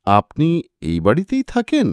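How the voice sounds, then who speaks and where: Audiobook private detective, voiced by a male reader: composed, inquisitive, probing questions with rising, mobile pitch, dry close sound. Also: wildly swooping pitch, normal speed, no room sound. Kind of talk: dramatised audiobook dialogue.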